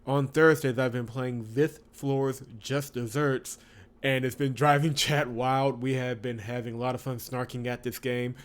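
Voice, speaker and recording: Man, mid-pitched voice, chatty game-streamer host, expressive story reading, wry commentary. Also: measured pace. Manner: chatty, expressive, wry asides, laughs through speech. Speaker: host of a visual novel playthrough channel. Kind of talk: casual let's-play commentary with read-aloud narration.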